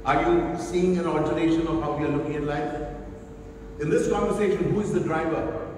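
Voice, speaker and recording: A man from Delhi with an Indian accent giving an animated motivational talk and firing rhetorical questions at the audience. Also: fairly high pitch, fairly quick. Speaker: motivational speaker. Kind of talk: interactive motivational talk to educators. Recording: echoey hall, voice from far off, faint hiss.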